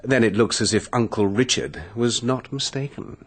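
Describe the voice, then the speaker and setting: A man's voice: medium pitch, normal speed, husky. An actor playing a dry, questioning man in a British radio mystery drama. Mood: dry, startled.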